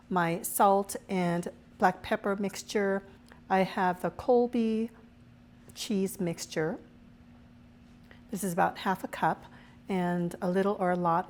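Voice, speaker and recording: A woman hosting her own cooking show, her voice a little raspy as she recovers from a cold, chatting through each step as she cooks. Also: mid-range voice, darkish tone. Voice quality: slightly raspy. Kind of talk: casual cooking demonstration.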